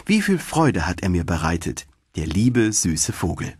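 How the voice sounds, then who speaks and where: A tiny, kind-hearted girl from a storybook fairy tale, acted out by a male reader. The speech is tender and earnest, husky in tone, with an animated melody. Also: sits low, a little slow, dry recording, clean studio sound, exaggerated voice. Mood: wondering, fond. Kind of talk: storybook narration.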